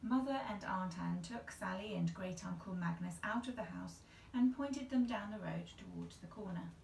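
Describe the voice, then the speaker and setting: A woman's voice: medium pitch, moderate pace, gravelly, a little mellow, slight background noise. A woman in her thirties reading a children's story aloud as a storyteller. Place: small tiled-looking bathroom indoors. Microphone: camera a couple of metres away. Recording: echoey small room, distant mic.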